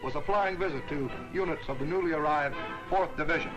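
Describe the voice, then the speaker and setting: A man narrating a wartime newsreel in a brisk, stirring announcer's delivery. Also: fairly high pitch, rough recording. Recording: old newsreel soundtrack with loud music.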